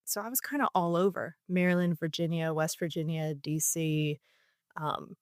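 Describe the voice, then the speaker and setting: A woman with a fairly low voice talks as a guest, candid and self-deprecating, laughing through her stories. The sound is dry and close, with no room echo. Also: steady tone, normal speed. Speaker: podcast guest, actor. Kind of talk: casual interview conversation.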